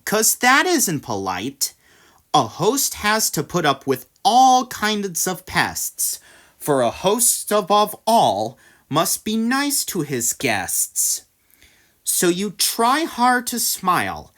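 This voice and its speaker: A woman reading a rhyming storybook aloud, with dramatic, deliberate phrasing. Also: on the low side, fairly bright tone. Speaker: story reader. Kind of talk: expressive read-aloud storybook narration in rhyme.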